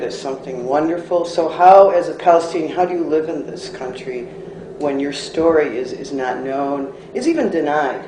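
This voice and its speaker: A man's voice: fairly high pitch, a little mellow. A man giving earnest spoken commentary. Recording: roomy echo with some background noise.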